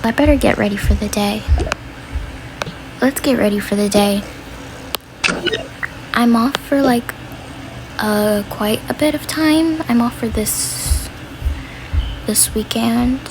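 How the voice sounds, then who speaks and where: A woman's voice: on the high side, takes it slowly, pauses often, music in the background. A woman voicing a tired, grudging mother in a casual, hesitant way for a homemade family role play. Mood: weary, resigned. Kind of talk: amateur doll-style family role play. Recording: noisy home recording.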